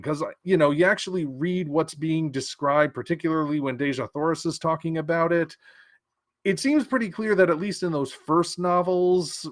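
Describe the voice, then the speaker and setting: A man's voice: a little high, no room sound, clean studio sound. A man giving casual opinion commentary on science fiction news, musing aloud with small restarts and fillers.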